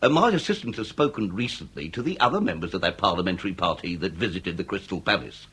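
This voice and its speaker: A Victorian police inspector with a slightly husky voice. His speech is florid and ceremonious, swinging widely in pitch with dry irony, in the style of comic period radio drama. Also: expressive, fast talker, fairly bright tone, heavily acted. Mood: suave probing. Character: wry, courteous Victorian police inspector, male.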